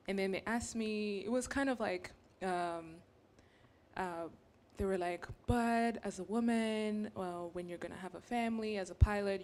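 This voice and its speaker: A young woman from Rwanda, a graduate student on a panel, telling her story in an animated, earnest way. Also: medium pitch. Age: young adult.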